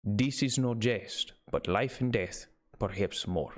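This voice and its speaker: A man voicing an elderly, earnest Dutch doctor with an exaggerated German-like accent, halting with many "uh" fillers, in an amateur audiobook reading. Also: fairly low pitch. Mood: grave. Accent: put-on exaggerated German-like.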